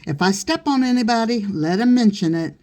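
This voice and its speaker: A woman reading as an easygoing gentleman master from a classic novel. The voice is mellow and carelessly droll, with orders delivered as offhand banter. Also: on the high side, lively intonation, fairly quick, pitched above the performer's own voice, lightly acted. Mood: breezy, genial.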